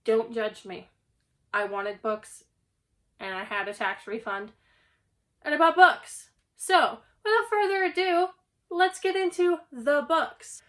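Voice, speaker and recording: A young woman with a slightly hoarse voice, recovering from a cough, chatting casually at home about a stack of books. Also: high voice, slow pace, pauses often, a bit bright. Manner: chatty, self-deprecating, rambling. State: hoarse, getting over a cough. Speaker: book channel host. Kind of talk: casual book haul vlog. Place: at home, indoors.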